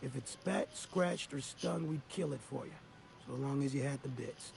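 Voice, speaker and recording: A man's voice: medium pitch, moderate pace, gravelly, darkish tone, a little hiss or hum. An actor playing a grizzled older male ex-mercenary in a game, talking in a folksy, rambling drawl. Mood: weary. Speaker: game character.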